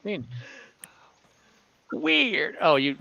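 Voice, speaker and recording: A woman runs a tabletop game in a forward, bright voice at a leisurely pace. She narrates informally, feeling her way through sentences and rewording them. The online call audio is a little dull. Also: lowish voice, sing-song, vocal fry, no room sound. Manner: halting, informal, prone to rephrasing. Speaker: game master. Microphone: online group call.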